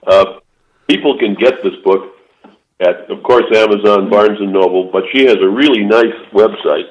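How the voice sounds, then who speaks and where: A man's voice: fairly low pitch, moderate pace. An older man and author, telling rambling anecdotes with restarts, calling in to a casual interview. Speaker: author guest. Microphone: phone call. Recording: phone line, lo-fi.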